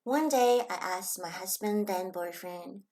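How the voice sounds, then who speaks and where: A woman with a Hong Kong Chinese accent speaks slowly and gently in a reflective personal talk. Her voice sits at a middle pitch, unhurried and thoughtful, now and then sinking to a soft whisper. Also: rough recording. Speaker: channel host.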